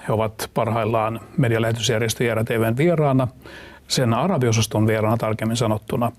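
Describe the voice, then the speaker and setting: A man's voice: medium pitch, steady tone, fast talker. A man with a Finnish accent, hosting a broadcast interview. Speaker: TV interview host.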